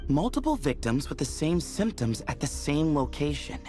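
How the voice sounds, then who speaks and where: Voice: medium pitch, sing-song, moderate pace, warm tone, soft background music, natural voice. An actor voicing a confident, breezy young leader of a desert temple in a video game, with a casual, wry manner. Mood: matter-of-fact. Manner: casual, wry, self-assured. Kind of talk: video game voice acting, conversational.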